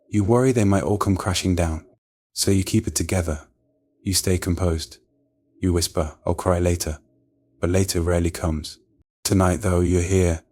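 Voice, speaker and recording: Male devotional narrator with a very low voice, soft and soothing, for a scripted sleep prayer. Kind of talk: scripted bedtime prayer meditation.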